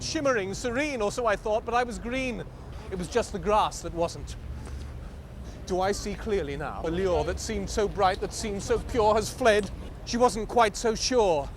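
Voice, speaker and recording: Male performance poet, high voice, theatrical and deliberate in rhymed verse, clear noise behind him. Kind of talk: reciting rhyming poetry.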